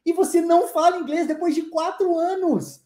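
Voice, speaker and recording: A man's voice: very high voice, fairly even intonation, moderate pace, warm tone, roomy sound. A man in his forties, born in Brazil and raised in the United States with English as his first language, teaching a live online lesson to his viewers. He talks emphatically and persuasively and keeps firing rhetorical questions at them.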